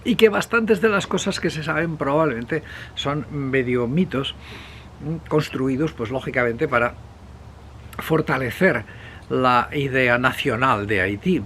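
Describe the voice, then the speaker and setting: A man from Spain presenting a history video in an unscripted, conversational way, rambling and hesitant, with pauses, digressions and self-corrections. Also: medium pitch. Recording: clear steady background noise.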